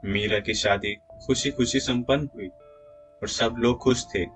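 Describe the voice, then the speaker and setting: A man's voice: mid-range voice, measured pace, fairly bright tone, soft background music. A man reading a scripted moral story as a storyteller.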